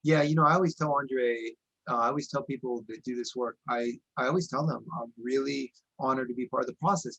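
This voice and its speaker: A man in his forties speaks in an earnest, reflective way, often pausing on "you know" and restarting his thoughts. His voice sits at a middle pitch, and he talks like a podcast guest sharing personal experience. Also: vocal fry, a bit bright, slightly rough, poor audio. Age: 40s. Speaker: integration coach, podcast guest. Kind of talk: candid personal interview talk.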